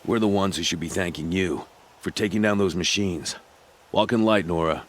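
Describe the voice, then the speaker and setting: A man playing a deep-voiced fort soldier. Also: normal speed, slight background noise, close to an everyday voice.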